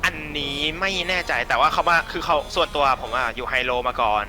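A man's voice: fairly high pitch, brisk, over music. A man chatting in a game roleplay in a colloquial way, often repeating himself as he explains. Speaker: gang boss player in a game roleplay.

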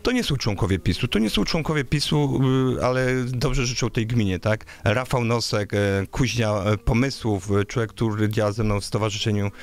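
A man's voice: mid-range voice, normal speed, few pauses. A man in his thirties, a local election candidate, answering interview questions in a radio studio with many hesitations and filler sounds. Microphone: studio microphone on a boom arm.

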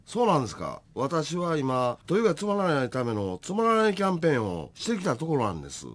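A man's voice: fairly high pitch, big pitch swings, quick pace. A man playing an affable repair company boss with a Kansai accent in a comic radio drama.

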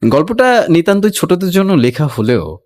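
Lively man narrating, high-pitched and animated. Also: normal speed. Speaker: recitation and voice modulation teacher. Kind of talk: expressive story narration for a class demonstration.

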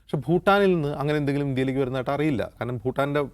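A man with a Kerala accent talks through current affairs on a discussion show. His delivery is fast and detailed, in an explanatory style at a medium pitch. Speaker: news anchor and panelist on a news discussion show.

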